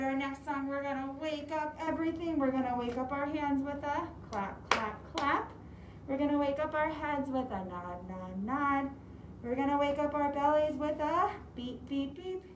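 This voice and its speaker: A young woman with a high voice talks to preschoolers as a storytime leader, in an animated way with simple instructions. She is slightly muffled by a mask and recorded from a distance, with clear noise around her. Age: young adult. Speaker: children's librarian leading storytime. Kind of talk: preschool storytime talk, songs and read-aloud. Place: indoor library room with large windows. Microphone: camera a few metres away. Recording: roomy, distant mic, clear background noise.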